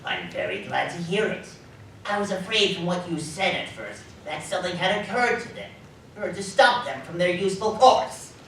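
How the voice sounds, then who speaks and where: A woman's voice: on the low side, animated, normal speed, natural voice. A woman playing a bitter, miserly old man in a live radio drama, scornful, curt and emphatic. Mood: cold, sardonic. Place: live community theatre performance. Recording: roomy live stage, distant mic, faint noise.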